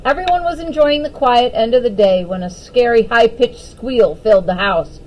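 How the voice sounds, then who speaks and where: A woman reading a children's picture book aloud as an expressive storyteller. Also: a little high, moderate pace, poor audio.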